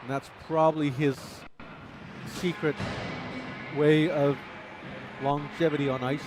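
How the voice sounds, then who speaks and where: A man's voice: fairly high pitch, level tone, slow, music in the background, poor audio. A man commentating live ice hockey, a native Chinese speaker, chatty and self-deprecating, laughing between play-by-play calls.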